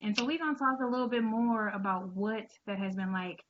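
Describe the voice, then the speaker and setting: A woman's voice: mid-range voice, a little fast. Slightly lo-fi recording of an upbeat woman host, chatty interview style, encouraging. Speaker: show host. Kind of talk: conversational interview hosting.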